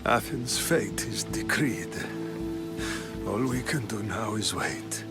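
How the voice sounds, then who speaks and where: A man's voice: lowish voice, expressive, slow, over loud music. A man playing an ailing ancient Athenian statesman in a game cutscene, solemn, weary, devout and resigned. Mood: weary regret. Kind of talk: dramatic game cutscene acting.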